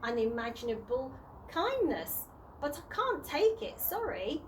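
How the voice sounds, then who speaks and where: Woman playing a courteous old tramp, posh, formal, halting, high-pitched, storybook character, faint background noise. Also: very animated. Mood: polite, kindly. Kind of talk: children's storybook read-aloud.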